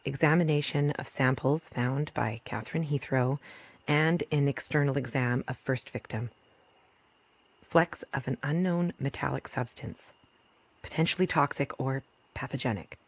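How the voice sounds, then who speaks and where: A woman playing a rural doctor and protective mother in a post-apocalyptic audio drama, with a husky voice. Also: rumbling low, normal speed. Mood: clinical, tired.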